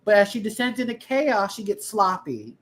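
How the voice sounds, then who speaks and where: A woman's voice: mid-range voice, very animated, normal speed. A woman in a casual group chat, steering the talk in a brash, blunt, sweary way. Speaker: podcast panelist steering the talk.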